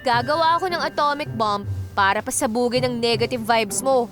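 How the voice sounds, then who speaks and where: A woman's voice: on the high side, brisk, a bit bright, natural voice. A woman acting a witty, bossy but caring young friend in a radio drama, teasing, with music behind her. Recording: clear music under the voice.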